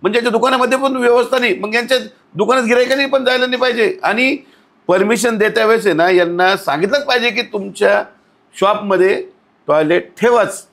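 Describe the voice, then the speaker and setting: A man's voice: high-pitched, a little fast, vocal fry, a bit bright. A man speaks as an outspoken guest in a podcast interview. He is blunt and indignant, scolding and throwing out rhetorical questions as he goes. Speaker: outspoken podcast guest commenting on local civic issues.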